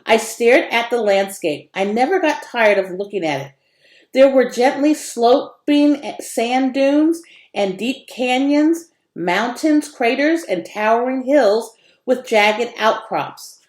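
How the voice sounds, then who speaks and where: A woman reads a children's story aloud in an expressive narrating style. Her pitch moves around a lot from phrase to phrase. The room around her adds a clear echo. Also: slow pace. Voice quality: animated, varied intonation. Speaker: educator reading a children's book aloud. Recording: echoey room.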